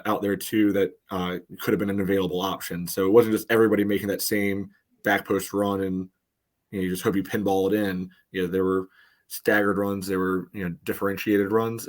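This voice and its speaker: Low-voiced man analyzing soccer. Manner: explanatory, peppered with "you know". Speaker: soccer podcast panelist. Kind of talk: casual match analysis.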